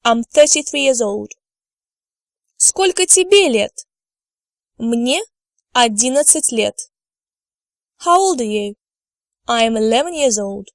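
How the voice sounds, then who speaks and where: A woman gives a slide lecture in a measured, unhurried way, modelling each word carefully so learners can repeat after her. Her voice sits fairly high. The recording is dry and close, with no room sound. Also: animated, no room sound. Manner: slow, careful delivery, models words for repetition. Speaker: language teacher.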